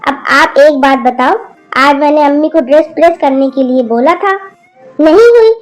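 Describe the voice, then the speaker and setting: A woman's voice: high-pitched, darkish tone, rough recording. A woman voicing a spoiled college-age daughter who snaps and whines rudely at her mother. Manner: whiny, snapping, rude.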